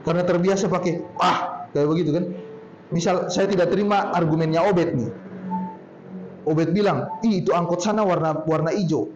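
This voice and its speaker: A man with a Poso accent tells stories to a group in a loose, easy way and stretches his words. His voice sits high. The sound is dull and lo-fi, with a roomy echo and faint ambience behind him. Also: a little mellow, a little hiss or hum. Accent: Poso regional Indonesian. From Poso, Central Sulawesi, Indonesia. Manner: chatty, drawn-out storytelling tone. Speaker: speaker at a talk. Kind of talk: casual talk to a group.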